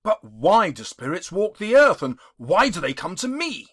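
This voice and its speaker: A man's voice: high voice, sing-song, normal speed, fairly bright tone, husky, no echo, studio quality, pitched above the performer's own voice, heavily acted. A man voicing a frightened, pleading old miser in a dramatic audiobook, with a trembling, faltering manner. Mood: terrified. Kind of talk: dramatic audiobook character reading.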